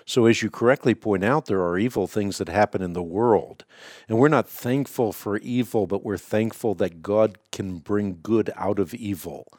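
A man whose first language is Dutch, hosting a call-in radio show and answering Bible questions in a measured, earnest way. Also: on the low side, a little mellow, no room sound, studio quality. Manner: measured, earnest, scripture-quoting. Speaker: radio host answering callers.

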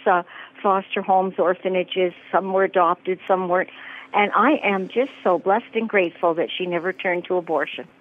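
Earnest woman caller over a phone line. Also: medium pitch. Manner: earnest, heartfelt. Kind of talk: phone call to radio show.

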